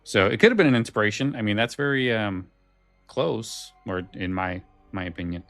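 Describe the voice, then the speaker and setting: A man co-hosting a podcast, talking casually and haltingly, repeating phrases as he thinks. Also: on the low side, animated, fairly bright tone, faint music behind. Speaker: podcast co-host.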